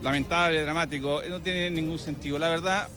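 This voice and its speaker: A man, a professor caught on the street outdoors, answers quickly and earnestly in a high voice. The pace is brisk. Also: fairly even intonation, pushed and bright, faint music behind. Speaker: university professor passing by.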